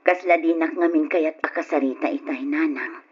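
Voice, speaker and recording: A woman playing a loving, apologetic middle-aged mother in an old radio drama, with a Filipino accent and a soft, pleading manner. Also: deep voice, normal speed, husky, dull audio. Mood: wistful.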